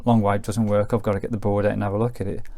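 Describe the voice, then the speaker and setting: A British man giving a casual, hands-on demonstration as a radio reviewer, explaining as he works in an informal, low-key way. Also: level tone, racing, dark tone, dry recording.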